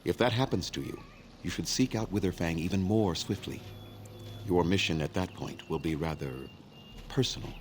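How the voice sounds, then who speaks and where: An actor playing a grave, weary elven clan leader in a fantasy video game, speaking formally and solemnly in measured phrases. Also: male voice, sits low, slight background noise, lightly acted. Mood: solemn warning. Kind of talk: scripted video game dialogue.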